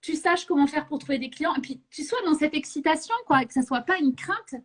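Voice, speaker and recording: A woman hosting a live session, chatting casually with many fillers and quick laughs. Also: sits high.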